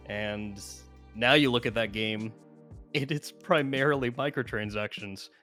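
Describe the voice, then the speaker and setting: A man's voice: medium pitch, unhurried, bright, forceful tone, soft background music. A man giving casual gaming commentary as a guest, explaining his opinions at length.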